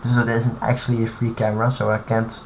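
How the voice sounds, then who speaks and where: A man's voice: on the low side, completely flat delivery. Man thinking aloud over typing noise. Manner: thinking aloud, halting, many fillers and restarts. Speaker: streamer. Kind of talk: casual live-stream commentary while modding. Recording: clear background noise and typing.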